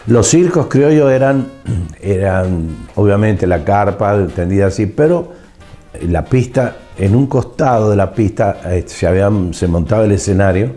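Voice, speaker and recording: An older Argentine man from Mendoza with a very low, dark voice. He reminisces in an interview, often repeating words as he searches for the next. Music plays faintly behind him. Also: expressive, a little slow. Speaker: veteran radio drama actor being interviewed.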